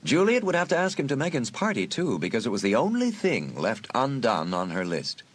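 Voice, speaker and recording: A man's voice: medium pitch, wildly swooping pitch, brisk. A man reading scripted, dramatic story narration for an audiobook.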